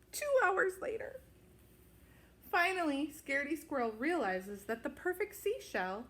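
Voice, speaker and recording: Woman, fairly high voice, unhurried pace, expressive storybook read-aloud, dramatic emphasis. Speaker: storybook reader. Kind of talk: children's picture book read-aloud.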